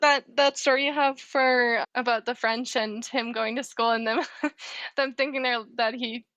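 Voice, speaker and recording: A woman's voice: on the high side, fairly even intonation, unhurried, fairly bright tone, no echo, muffled recording. A young woman whose first language is German, chatting as a podcast guest with frequent laughs and restarts. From Canada. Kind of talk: casual chat.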